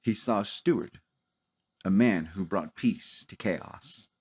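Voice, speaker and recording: A man narrating a cinematic audio drama in a solemn, dramatic manner with deliberate pauses. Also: deep voice, husky. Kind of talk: slow cinematic scripted narration.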